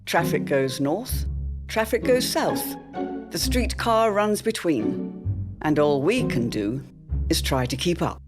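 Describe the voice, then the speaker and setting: Female serial announcer, dramatic scripted intro read, lively intonation, clear music bed. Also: deep voice, slow.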